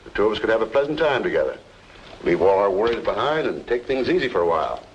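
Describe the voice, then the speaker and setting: A low, gravelly older man, persuasive and soothing with unease beneath. It is vintage radio drama acting. The recording is dull and faintly noisy. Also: very animated, quick pace. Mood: coaxing.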